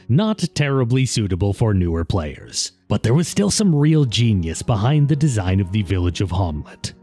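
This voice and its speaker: A man's voice: mid-range voice, warm tone. A man reading scripted documentary narration as the narrator of a video essay.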